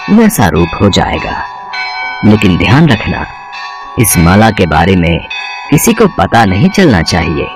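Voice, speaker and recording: A man performs a mystical holy man from a cartoon magic tale, solemn and benevolent. His low voice swings widely in pitch, with clear music behind it. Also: heavily acted.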